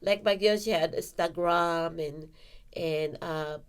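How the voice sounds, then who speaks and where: A woman's voice: on the low side, slow pace, clean tone. A woman hosting a casual podcast interview, asking rambling questions with many fillers. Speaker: podcast host.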